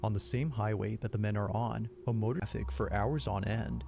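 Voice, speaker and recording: Low gravelly male narrator over music. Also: fairly quick, warm tone. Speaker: narrator of a video being reacted to. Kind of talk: scripted narration. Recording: played back over a stream with music underneath.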